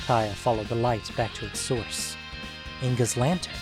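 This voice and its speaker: A man narrating a scripted fantasy story in a measured, suspenseful, dramatic audiobook manner. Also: medium pitch, steady tone, music in the background.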